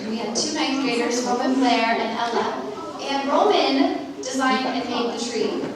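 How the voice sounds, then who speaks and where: A woman presenting at a school awards ceremony, thanking parents and introducing teachers in an upbeat way. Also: sits high. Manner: warm, upbeat, thanks and praises the audience. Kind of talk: speaking to an audience at a school ceremony. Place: church hall. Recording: echoey hall, distant mic, audience noise.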